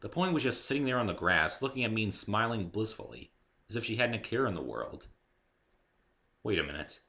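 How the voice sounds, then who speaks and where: A man's voice: lowish voice, sing-song, brisk, vocal fry, pushed and bright. A man giving a dramatic first-person reading of his own story, theatrical and emphatic.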